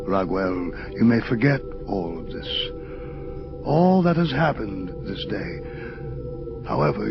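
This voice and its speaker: Inscrutable, fatherly God: very slow, creaky, calmly condescending, dull sound with music behind. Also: medium pitch. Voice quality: creaky, gravelly. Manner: slow, gentle, deliberate, condescending. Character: calm, inscrutable God, paternal, ancient and all-knowing. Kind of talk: dramatic audio play dialogue. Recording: dull lo-fi audio under music. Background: prominent atmospheric music.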